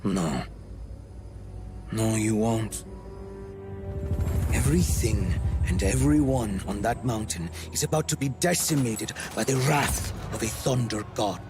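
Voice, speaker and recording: A man's voice: on the low side, lively intonation, a little slow, long pauses. A man acting a smug, suave middle-aged villain in a video game cutscene, mock-polite and condescending, with theatrical phrasing. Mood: smug, menacing. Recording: loud music bed under the voice. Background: music.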